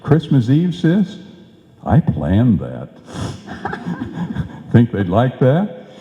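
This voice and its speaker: A man's voice: mid-range voice, huge pitch swings, very slow, dark tone, heavily acted. A man playing a folksy, kindly, wry neighbor in a live old-time radio comedy. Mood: genial, proud. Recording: dull, echoey hall stage recording.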